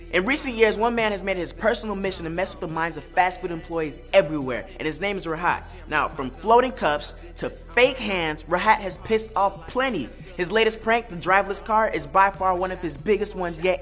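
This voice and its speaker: A young American man presenting a scripted comedic web show in a playful, animated, slangy way. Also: high voice. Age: young adult. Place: studio with green screen, music behind.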